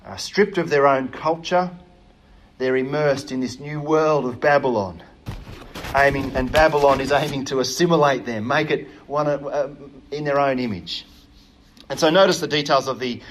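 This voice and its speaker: Earnest man preaching a sermon. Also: medium pitch. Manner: earnest, emphatic, with frequent hesitations.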